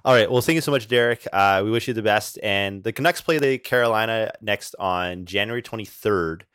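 Podcast co-host guy, smooth clear voice, lowish, polite and easygoing. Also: a little fast, a bit bright, no echo, studio quality. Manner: polite, wraps up the interview. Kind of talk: casual chat.

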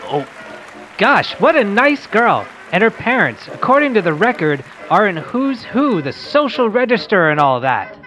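A woman's voice: medium pitch, big pitch swings, measured pace, a bit bright, soft background music. A woman playing an eager, wholesome young boy radio host in an old-fashioned radio play reading. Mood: eager, cheerful.

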